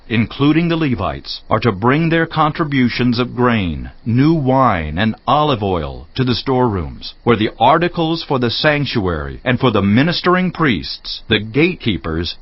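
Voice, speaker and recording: A man's voice: mid-range voice, very animated, moderate pace, few pauses, slightly rough, no room sound. A man giving a formal, declarative scripted reading of scripture for a dramatized audiobook. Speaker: audiobook reader. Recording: slightly dull, lo-fi audio.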